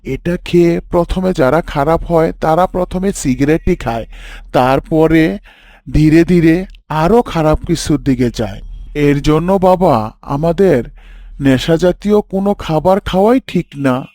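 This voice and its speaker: A pious, kindly father from a moral cartoon, acted by a man, with a fairly high male voice. He instructs patiently and approvingly, a little preachy, with a dry studio-like sound. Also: a little slow, a little mellow, no echo. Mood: instructive. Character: kindly, pious father gently teaching his young son. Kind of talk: children's moral cartoon dialogue.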